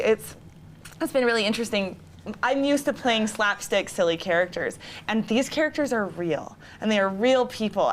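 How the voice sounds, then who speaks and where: A woman giving earnest, emphatic interview answers as a community theater actor. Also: fairly high pitch. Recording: noticeable background noise.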